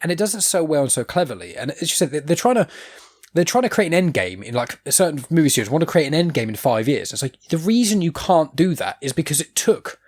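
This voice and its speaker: A man chatting on a podcast, rambling and animated, with quick asides and casual swearing. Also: fairly high pitch, breathy edge. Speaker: podcast co-host. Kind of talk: casual chat.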